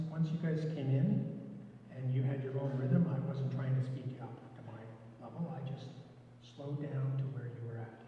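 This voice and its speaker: A soft-spoken man giving an informal training talk to lay readers, relaxed, with frequent restarts. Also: a little high, a little hiss or hum. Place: echoey church hall. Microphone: room mic from a distance. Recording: echoey, distant, dull room recording.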